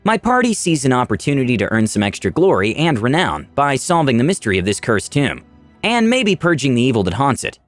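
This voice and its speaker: A man's voice: medium pitch, big pitch swings, fairly quick, dry recording. A man narrating a scripted story in a dramatic, theatrical storytelling manner. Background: music.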